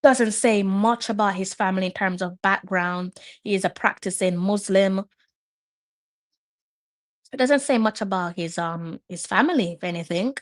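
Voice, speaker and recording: A woman's voice: medium pitch, moderate pace, dry recording. A woman hosting a live match watch-along, chatting and musing aloud as she reads up on players. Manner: chatty, muses aloud while reading up on players. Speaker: host.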